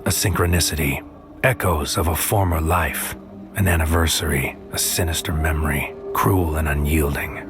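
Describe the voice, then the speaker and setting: An actor playing a brooding, desperate psychic soldier who narrates his mission in a terse, grim, hushed audio-drama monologue. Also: very deep voice, moderate pace. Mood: grim, intense. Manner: terse, grim, intense, clipped poetic phrasing. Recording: loud music behind voice. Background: loud music.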